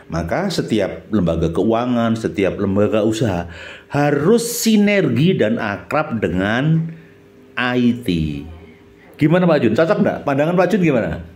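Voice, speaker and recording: A man's voice: mid-range voice, big pitch swings, a little slow, darkish tone. A middle-aged man with a Javanese accent from Central Java, chatting through an informal reminiscing monologue and often turning to his companion with questions. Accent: Javanese Indonesian, Central Java. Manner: chatty, often checks in with listener using questions. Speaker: channel host, former radio announcer.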